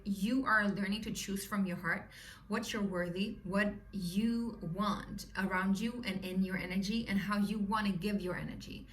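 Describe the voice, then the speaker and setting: A woman's voice: mid-range voice, fairly bright tone, far from the mic. A woman from Sweden with a Swedish accent, chatting casually through a tarot reading for her viewers and often asking "you know?" and "right?". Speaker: tarot reader.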